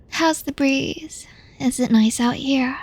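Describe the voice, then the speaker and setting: A woman voicing a gloomy young woman who confides in a close friend, talking softly and often half-whispering, with sighs. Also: high-pitched, no echo, rough recording. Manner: soft-spoken, often half-whispered, sighing. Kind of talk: one-sided audio roleplay to the listener.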